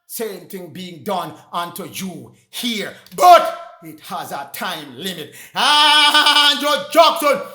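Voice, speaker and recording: A man preaching in a loud, sing-song shout, firing off rhetorical questions. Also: high voice, big pitch swings, takes it slowly, roomy sound.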